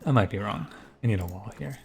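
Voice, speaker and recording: A man with a soft, soothing voice giving relaxed, thinking-aloud commentary over a strategy game. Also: quick pace, gravelly, noisy background. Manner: low-key, musing, thinks aloud with frequent restarts. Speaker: gaming YouTuber and streamer. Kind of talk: casual gameplay commentary.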